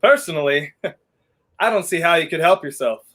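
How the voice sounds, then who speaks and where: A man's voice: on the high side, animated, normal speed, fairly bright tone. A young man playing a wry, weary fugitive hero in a 1930s radio play, sardonic and theatrical in manner. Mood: dry, teasing. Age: young adult.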